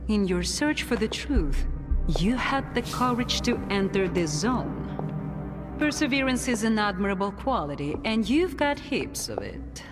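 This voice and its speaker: A woman acting as a composed, quietly menacing authority figure in a video game, controlled, deliberate and faintly ironic. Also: medium pitch, big pitch swings. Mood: composed, probing. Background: music.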